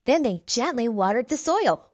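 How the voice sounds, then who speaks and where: Lady reading kids story aloud, expressive, high lilting voice, dry close sound. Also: normal speed, no room sound. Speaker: children's book narrator. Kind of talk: read-aloud storytelling for young children.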